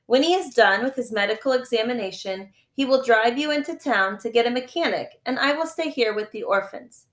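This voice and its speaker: A woman's voice: on the high side, a little fast, a bit bright, lightly acted. Falsely polite male schemer, voiced by a woman: controlling, storybook delivery, roomy echo. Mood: smoothly controlling. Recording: echoey room.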